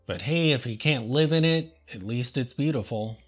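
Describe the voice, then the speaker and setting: A man hosting a comedy show, reading product reviews aloud and answering them with deadpan sarcasm. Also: medium pitch, husky, faint music behind. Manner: dry, sarcastic, slow and deliberate. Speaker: host.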